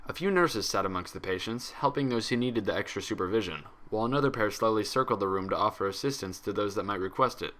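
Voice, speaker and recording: A man's voice: fairly low pitch, quick pace, hardly any pauses, a bit bright. A man reading a scripted story aloud as its narrator. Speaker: fan fiction reader.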